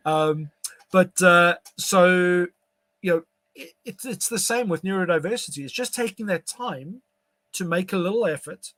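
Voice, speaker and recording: A man from South Africa, whose first language is Afrikaans, chatting on a podcast in a fairly high voice. He trips over words and leans on fillers and restarts as he talks. The sound is close and dry. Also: lively intonation, fairly bright tone, dry recording. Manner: halting, many fillers and restarts. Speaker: podcast guest. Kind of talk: casual conversation.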